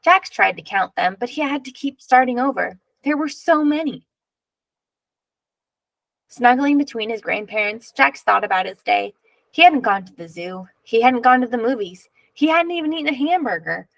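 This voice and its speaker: Female picture book narrator: expressive, measured read-aloud, some vocal fry, dry recording. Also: a little high, dry recording, low-quality recording.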